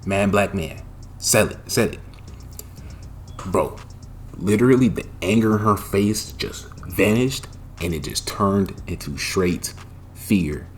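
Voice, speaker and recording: Deep-voiced young man telling a story. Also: slow pace, pauses often, slight background noise. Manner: animated, profane slang-heavy retelling with loud bursts. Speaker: storytime vlogger. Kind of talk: casual storytelling.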